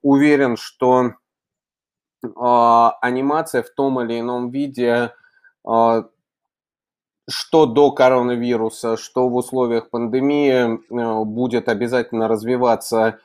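A middle-aged man hosting an unscripted online interview, phrasing deliberately with long, drawn-out hesitations. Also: medium pitch, level tone, a little mellow. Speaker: host. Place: indoor room, on a video call.

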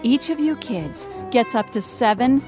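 An American actress playing a warm, delighted middle-aged mother in a family radio drama. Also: a little high, animated, unhurried, music in the background. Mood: pleased.

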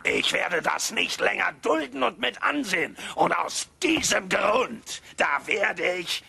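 Excitable old cartoon gent, theatrical, voice swooping all over, gravelly creak. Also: male voice, high voice, a little slow, a little hiss or hum, heavily acted. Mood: mock stern. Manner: theatrical, exclaiming, chuckling. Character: excitable old miser turned joyful and generous. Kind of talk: German cartoon dub acting.

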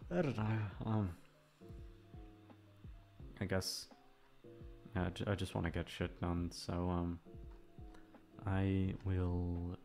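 A man's voice: sits low, drawn-out words, long pauses. A man chatting casually to his listeners in a hesitant, rambling, self-deprecating way, swearing freely, a little back from the microphone. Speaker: ASMR voice actor and channel host. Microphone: microphone, sitting a little back from it. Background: soft guitar music.

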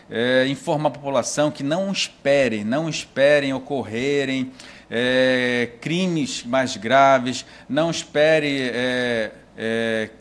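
A man in his thirties with a northern Brazilian accent, a police chief giving a formal, measured statement in an office, often hesitating and restarting. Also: medium pitch. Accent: Brazilian Portuguese, northern Pará. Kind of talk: interview statement. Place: indoor office. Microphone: handheld mic held close.

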